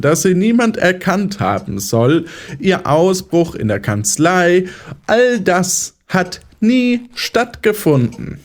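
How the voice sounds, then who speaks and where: Psychiatrist, male actor, audio drama. High voice, lively intonation, patronizing and didactic, quietly controlling. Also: full character voice. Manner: condescending, didactic. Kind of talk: German audio drama acting.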